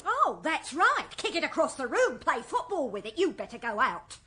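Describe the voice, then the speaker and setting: A scornful English shopkeeper's wife in a radio drama. Her voice is high and sharp, swooping up and down as she nags in exasperation. There is faint noise behind her. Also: wildly swooping pitch, normal speed, full character voice. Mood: exasperated scolding. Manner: scolding, exasperated.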